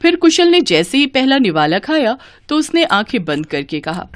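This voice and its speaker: A woman narrating a scripted Hindi family story as storyteller, with an expressive storybook delivery. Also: high voice, normal speed, no echo.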